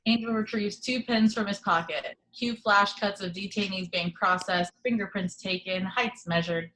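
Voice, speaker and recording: Woman narrating screenplay action, medium pitch. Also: steady tone, moderate pace, bright, forceful tone, a little hiss or hum, rough recording. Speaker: narrator reading action descriptions. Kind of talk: scripted narration for table read.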